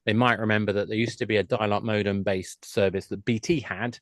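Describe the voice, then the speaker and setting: Low-voiced middle-aged man, chatty. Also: fairly even intonation, fairly quick, no echo, clean studio sound. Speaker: podcast guest, games industry expert. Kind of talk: conversational interview talk.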